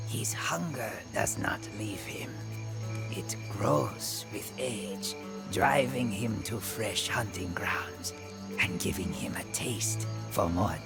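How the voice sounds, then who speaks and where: A man's voice: on the low side, slow pace, vocal fry. An actor playing a proud, brooding Burmese warrior king in a game, narrating his own story in a grave, theatrical, declamatory manner. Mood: weary, reflective. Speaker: narrator and protagonist of game cutscenes. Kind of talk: dramatic scripted first-person narration. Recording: voice over prominent music. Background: music.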